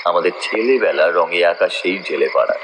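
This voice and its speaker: A man with a low, animated voice narrates a scripted ghost story in the first person. Clear ambient noise runs behind his voice. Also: normal speed. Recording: noisy nature ambience behind voice.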